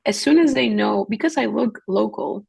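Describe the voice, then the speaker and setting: Husky, gravelly-voiced woman telling stories. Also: fairly high pitch, lively intonation, moderate pace, darkish tone. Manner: earnest, storytelling, quotes others in dialogue. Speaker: guest missionary. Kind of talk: podcast conversation.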